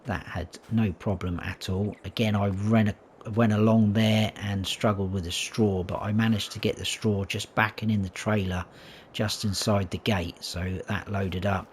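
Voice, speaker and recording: A man with a croaky voice giving a chatty walkthrough of a farming game map, often correcting himself as he goes. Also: fairly low pitch, fairly even intonation, measured pace, slight background noise. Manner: chatty, self-correcting, talks to viewers. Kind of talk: casual gameplay tour commentary.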